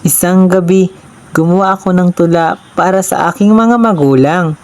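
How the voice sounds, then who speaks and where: A woman's voice: on the low side, normal speed, a little mellow. Woman reading a children's story. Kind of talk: read-aloud children's story narration.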